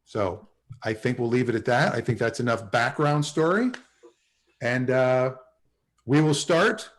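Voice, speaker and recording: Male host, forties, medium-pitched, casual introductions, video call livestream. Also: unhurried. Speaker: host and writer.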